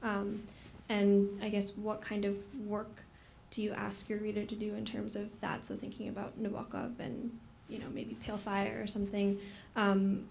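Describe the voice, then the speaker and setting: A woman's voice: medium pitch, vocal fry, a little mellow. A woman at a live book talk asks a rambling, tentative question from the audience, over faint room noise. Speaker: audience member asking a question. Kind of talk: hesitant unscripted question.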